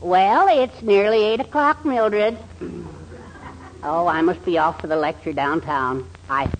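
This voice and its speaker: A henpecked middle-aged dad, sheepish n sly, hems and haws, old radio comedy w/ a bit of noise. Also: male voice, high voice, measured pace, poor audio. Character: sheepish henpecked older husband and father, slyly naughty. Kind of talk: 1940s radio comedy acting. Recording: 1940s radio broadcast with faint noise.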